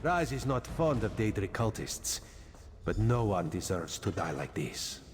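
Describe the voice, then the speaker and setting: A roguish cat-man from a fantasy game. He talks with a very animated, droll lilt, theatrical and wry, over faint background noise. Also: lowish voice, measured pace. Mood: somber. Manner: third-person speech, droll, theatrical. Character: roguish, wry cat-man agent, speaks of himself by name. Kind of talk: fantasy video game voice acting.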